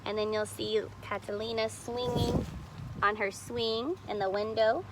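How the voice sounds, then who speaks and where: A woman's voice: on the high side, steady tone, a little slow, heavy background noise. A woman reading a picture book aloud expressively, stopping to explain the illustrations simply, as if to young children. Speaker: storybook reader.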